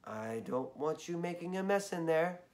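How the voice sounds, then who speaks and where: A man voicing a patient, tired mother who speaks gently but firmly, a little hoarse. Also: fairly high pitch, normal speed, pitched above the performer's own voice, lightly acted. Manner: calm, gently firm.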